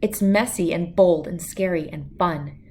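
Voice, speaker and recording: A woman reading a children's picture book aloud as a first-person story, with expressive, clearly phrased narration. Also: fairly low pitch, unhurried, slight background noise.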